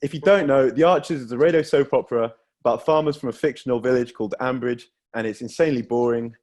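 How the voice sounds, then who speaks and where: A man's voice: medium pitch, fast, darkish tone. A young man from Wales performing a self-deprecating stand-up set over a video call, often laughing through his own jokes. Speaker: stand-up comedian.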